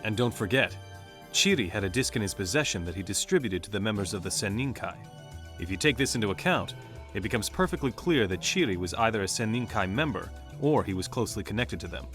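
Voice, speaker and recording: A man's voice: fairly low pitch, expressive, fast talker, a bit bright, soft background music. A man playing an analytical, skeptical special-ops team member in a video game, probing as he reasons aloud. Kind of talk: English dub acting in a video game cutscene.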